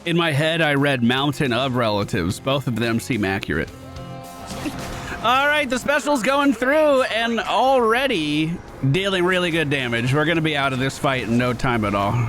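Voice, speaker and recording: Male gaming streamer: chatty, informal, higher-than-average voice with very varied intonation. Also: very animated, moderate pace, a bit bright, soft background music. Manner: chatty, informal, reads game text aloud. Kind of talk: casual gameplay commentary.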